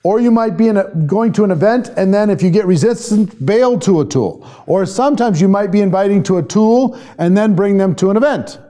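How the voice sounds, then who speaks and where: A man's voice: high voice, brisk, hardly any pauses, dark tone. A man working as a sales trainer, teaching a live online class in an informal, conversational way and thinking aloud as he responds to viewers. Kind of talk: informal live lesson, reading and answering viewer comments.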